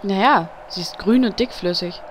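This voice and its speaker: A woman playing a young, sharp-tongued undead priestess in a fantasy parody audio drama, with a brisk, snappy manner. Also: mid-range voice, lightly acted. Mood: dry, brisk. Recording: background noise.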